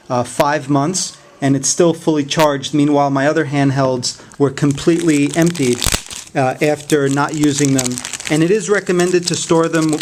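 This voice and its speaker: A man giving a casual, unscripted product review in a hesitant, halting way. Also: fairly high pitch, slight background noise.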